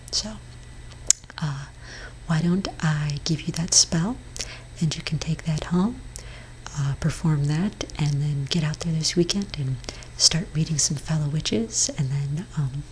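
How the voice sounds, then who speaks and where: A woman playing a gentle, reassuring witch therapist in an ASMR roleplay, speaking in a soft, breathy whisper with hesitant pauses. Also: low-pitched, poor audio. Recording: clearly audible background noise.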